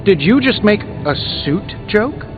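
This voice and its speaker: Cocky, animated wisecracking hero. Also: male voice, high voice, measured pace. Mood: mock-amazed, teasing. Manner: sarcastic, playful, animated. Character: cocky, teasing, fast-quipping male superhero in a game. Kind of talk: scripted video game banter. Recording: dull game audio under prominent music.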